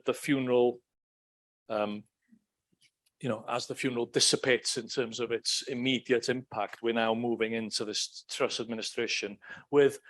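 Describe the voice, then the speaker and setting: A man's voice: medium pitch, a bit bright. A middle-aged man with a Welsh accent giving a conversational academic lecture, with frequent ums and restarts. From Wales. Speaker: academic speaker. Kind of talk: lecture talk.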